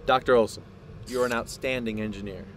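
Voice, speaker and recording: An actor playing a proud, obsessive male scientist in an audio drama, speaking in a deliberate, grandiose way. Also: mid-range voice, fairly even intonation, fairly bright tone, slight background noise, natural voice. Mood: measured, earnest. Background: music.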